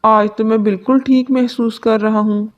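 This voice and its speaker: A woman's voice: fairly high pitch, fairly even intonation, moderate pace, dull audio, close to an everyday voice. A woman voicing a blunt, demanding, drunken male pigeon husband in a cartoon story. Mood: pleased. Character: drunken, abusive male pigeon husband, whiny when sick. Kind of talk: Hindi cartoon story dubbing.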